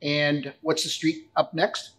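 A man's voice: fairly high pitch, level tone, takes it slowly, a bit bright. A man hosting a casual interview, affirming often with repeated short agreements. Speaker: interviewer, show host.

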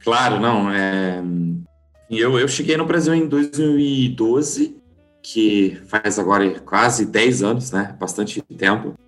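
German man, lowish voice, halting speech. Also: a bit bright, faint music behind. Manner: hesitant, many restarts and fillers. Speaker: guest. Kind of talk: conversational interview.

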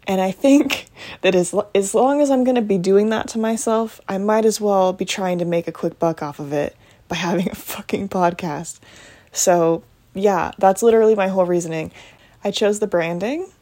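A woman in her twenties hosting a casual solo podcast from her bedroom late at night, talking low and hushed with lots of ums and restarts. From United States. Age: 20s. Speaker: podcast host.

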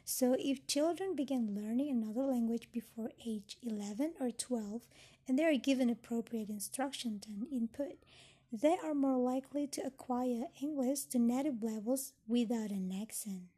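A woman's voice: on the high side, moderate pace, mellow, slightly rough. A young woman from Indonesia, a native Indonesian speaker, talking thoughtfully about learning English and reading points from a handout. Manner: thoughtful, explaining, reads from a handout. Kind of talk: educational podcast talk.

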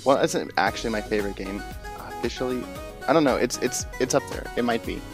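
A man's voice: mid-range voice, fast. Casual man chatting, faint music behind. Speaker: podcast co-host. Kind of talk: casual chat.

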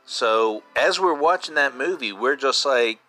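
A man's voice: mid-range voice, lively intonation, a little slow, sharp tone, faint music behind. A man in his fifties hosting a casual solo podcast, chatting like an enthusiastic fan and repeating himself for emphasis. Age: 50s. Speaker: podcast host.

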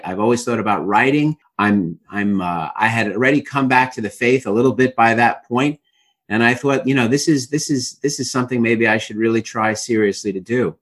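A man's voice: mid-range voice, a bit bright. Hesitant Brooklyn man telling his story. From Brooklyn, New York. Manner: hesitant, many fillers and restarts. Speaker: author and interview guest. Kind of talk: casual interview storytelling.

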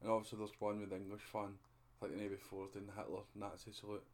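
A man with a Scottish accent hosting a casual podcast, talking in a relaxed, conversational way that often drops to a mutter. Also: on the low side, fast talker. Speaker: podcast host. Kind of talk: casual chat.

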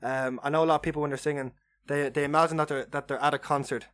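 Man, young adult, Irish accent, podcast co-host, loud, animated, repeats words, fast talker, slightly high voice. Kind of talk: casual chat.

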